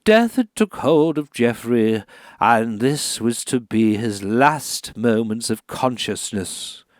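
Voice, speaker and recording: A man plays an earnest male author with a morbid streak in a radio comedy sketch. His mid-pitched voice moves widely, solemn for reading and faltering when challenged. The sound is very dry. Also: animated, dry recording. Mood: solemn, gloomy. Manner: slow solemn reading, stammering when questioned.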